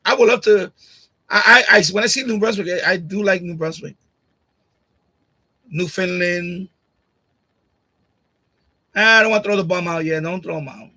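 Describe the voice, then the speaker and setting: A man's voice: high-pitched, normal speed, long pauses, pushed and bright, rough recording. A man from Puerto Rico hosting a casual live stream, arguing bluntly with commenters, repeating himself and laughing often. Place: home streaming setup. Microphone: USB condenser mic on boom arm.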